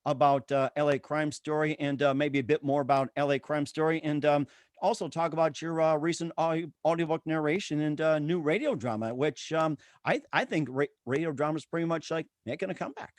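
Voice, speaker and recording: A man's voice: a little high, fairly even intonation, fairly quick, dry recording. A man hosting a show, reading plugs and introductions in a wordy way, sometimes stumbling over his words. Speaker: show host. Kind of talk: ad reads and interview hosting.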